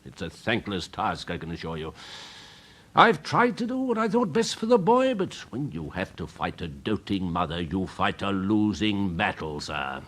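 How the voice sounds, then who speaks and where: An actor playing a pompous, snobbish older Victorian major with an upper-class English accent, fussy and blustering, in a radio drama. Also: male voice, deep voice, huge pitch swings, moderate pace, fairly bright tone, full character voice. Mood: vexed, blustering. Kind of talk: British radio drama acting.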